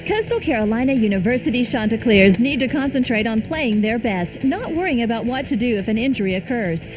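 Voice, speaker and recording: A woman's voice: on the high side, lively intonation, fairly quick, rough recording. A woman reading scripted commercial narration as an announcer. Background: music.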